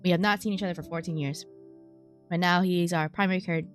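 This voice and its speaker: Female streamer reading over soft music. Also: lowish voice, steady tone, fast talker. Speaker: streamer, VTuber. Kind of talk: game playthrough, reading story text aloud with casual commentary. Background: game music.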